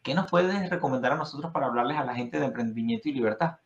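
A man's voice: medium pitch, quick pace, lo-fi sound. Rambling Venezuelan man, echoey room. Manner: rambling, many restarts and fillers. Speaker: podcast host. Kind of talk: casual interview chat. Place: Florida.

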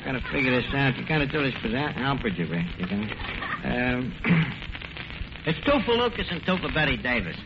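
A man's voice: medium pitch, very animated, normal speed. A man acting a brash, slangy tavern manager who mangles his words in an old radio comedy. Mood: flustered, muttering. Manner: malapropisms and bad grammar, bluffing. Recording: noisy, muffled old radio recording.

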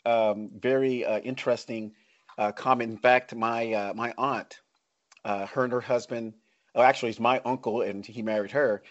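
Middle-aged man, American accent, podcast host, medium pitch, dry close sound. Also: steady tone, moderate pace, no room sound, muffled recording. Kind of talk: podcast hosting, scripted intro and interview chat.

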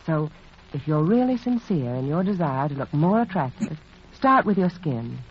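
A woman's voice: sits low, big pitch swings, normal speed. A woman hostess reading a scripted 1940s radio advertisement, speaking polished and directly to the listener. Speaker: hostess and sponsor spokeswoman. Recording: muffled old radio recording, faint hiss.

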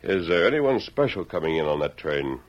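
An American actor playing a steady, resolute western hero in old-time radio style, with measured, firm and terse delivery. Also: male voice. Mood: earnest, resolute. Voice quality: deep, husky. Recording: dull, lo-fi old radio recording.

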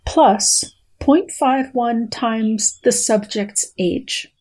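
A woman's voice: a little high, very slow, a little mellow, husky. Precise, playful middle-aged woman explaining science. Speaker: guest scientist reading a research paper. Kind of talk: dramatic reading and explanatory conversation.

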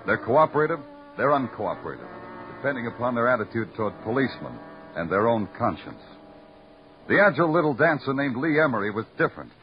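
A man's voice: mid-range voice, sing-song, fast talker, soft background music, lightly acted. An actor playing a clipped, hard-boiled male police lieutenant who also narrates, in 1940s radio acting. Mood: narrating. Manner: clipped, terse. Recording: noisy muffled old radio recording.

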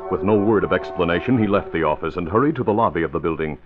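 A man's voice: deep voice, very fast. Man, 1940s radio announcer-narrator, dramatic, emphatic, dull vintage recording, light music behind.

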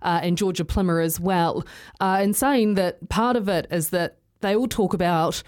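A woman hosting a sports radio show, brisk and upbeat as she reads promos and introduces guests. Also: on the low side, darkish tone.

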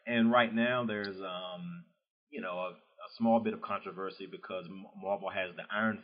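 A man with a Southern US drawl hosts a relaxed interview, his voice sitting a little low. He talks loosely, stringing thoughts together with fillers and easy laughs. The recording is dull and lo-fi. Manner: loose and chatty, many "you know" fillers, quick laughs. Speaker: podcast host interviewing an author. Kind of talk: casual interview chat. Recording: dull lo-fi sound.

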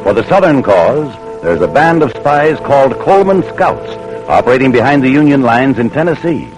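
A man's voice: medium pitch, very animated, moderate pace, over music, poor audio. A man with an American accent announcing and narrating in a formal, dramatic 1940s radio style, on an old broadcast recording.

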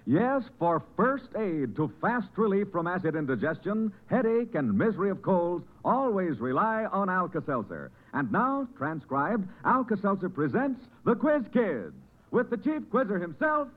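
Vintage American radio announcer, swooping high pitch. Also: male voice, big pitch swings, moderate pace. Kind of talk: old-time radio sponsor announcing. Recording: thin, narrow vintage radio recording.

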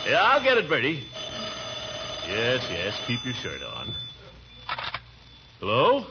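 Pompous, blustering uncle on noisy old radio. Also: male voice, medium pitch, wildly swooping pitch, takes it slowly, full character voice. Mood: flustered, irritated. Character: pompous, grumpy, fretful middle-aged uncle and guardian. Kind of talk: 1940s radio comedy acting. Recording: dull, noisy vintage radio recording.

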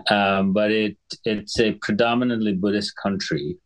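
A middle-aged man from Sri Lanka with a deep, low voice, talking as a guest in a relaxed interview. He explains things in a measured, unhurried way and stops now and then to clear his throat. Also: poor audio. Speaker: guest physician. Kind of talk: conversational podcast interview.

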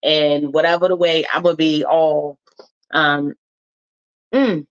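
A woman's voice: low-pitched, steady tone, slow pace, lo-fi sound. A woman hosting a live talk show, chatting in a casual, slangy and emphatic way, a little stuffed up. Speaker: host.

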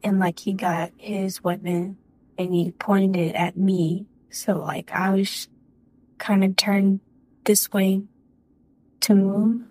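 A woman giving courtroom testimony in a halting, hesitant way, with many restarts and fillers. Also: mid-range voice, little pitch movement, a little mellow, dry recording, rough recording. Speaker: witness.

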